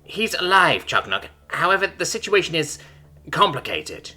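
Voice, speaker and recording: A man's voice: a little high, big pitch swings, moderate pace, sharp tone, soft background music, pitched above the performer's own voice, full character voice. A man voicing a small, polite hoofed alien woman with a formal way of speaking. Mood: gentle.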